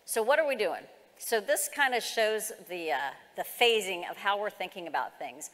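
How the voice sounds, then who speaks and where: A woman's voice: medium pitch, lively intonation, normal speed, fairly bright tone. A middle-aged American woman giving an explanatory, semi-formal keynote presentation at a conference. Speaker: keynote speaker, government executive. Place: large conference hall, on stage. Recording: reverberant hall sound.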